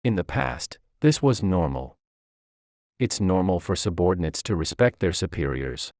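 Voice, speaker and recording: A man's voice: low-pitched, very animated, normal speed, darkish tone, dry recording, studio quality. A man voicing an arrogant, exploitative male company director who is curt and dismissive, in a narrated story. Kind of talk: quoted dialogue in story narration.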